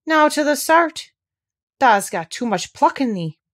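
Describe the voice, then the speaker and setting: Storybook role: blunt old Yorkshire gardener, male, dry and crabbed, soft-hearted underneath, played by a woman. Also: high voice, sing-song, unhurried, voice pushed up, heavily acted. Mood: dry exultation.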